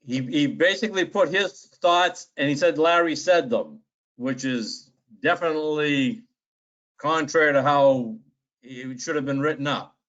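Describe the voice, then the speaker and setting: A man with a slightly high voice chairs a town planning board meeting, plain-spoken and brisk. The audio is muffled and lo-fi, like local cable TV. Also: fairly bright tone. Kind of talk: meeting discussion.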